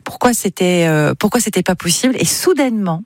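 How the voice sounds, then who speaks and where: A woman's voice: fairly low pitch, expressive, brisk, dry recording, studio quality. A woman hosting a casual music radio show, asking her guests playful, enthusiastic questions. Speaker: radio host.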